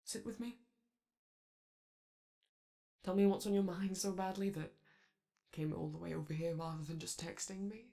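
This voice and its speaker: A man's voice: high voice, moderate pace, creaky, long pauses, dark tone. A man voicing a flamboyant, doting male robot star in a romantic ASMR roleplay, theatrical and coaxing, calling the listener darling. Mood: coaxing, flirty.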